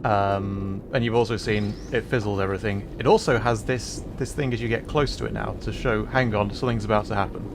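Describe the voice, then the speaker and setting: Rambling low-voiced man streaming a game. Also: fairly even intonation, brisk, hardly any pauses, background noise. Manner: rambling explanations with fillers and laughs. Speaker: livestreamer playing a game. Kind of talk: casual gameplay commentary.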